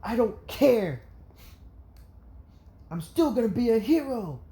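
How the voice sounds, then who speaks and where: A person voicing a small, earnest, tearful boy who speaks in a plaintive, halting way. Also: mid-range voice, big pitch swings, mellow, voice pushed up. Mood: stubborn resolve. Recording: roomy echo, faint background noise.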